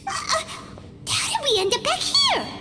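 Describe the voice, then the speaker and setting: Teeny cutesy fairy sidekick, super high n bouncy, loud game music behind. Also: female voice, huge pitch swings, normal speed, long reverb tail, heavily acted. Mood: puzzled. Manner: cutesy, refers to self in third person. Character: tiny squeaky childlike fairy companion, excitable, chatty. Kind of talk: video game cutscene acting.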